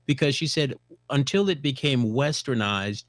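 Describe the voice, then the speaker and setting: A man's voice: medium pitch, normal speed, dry recording, clean studio sound. A man hosting a television interview, chatting and telling stories from his own life. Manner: conversational, storytelling. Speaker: host. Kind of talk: talk show interview.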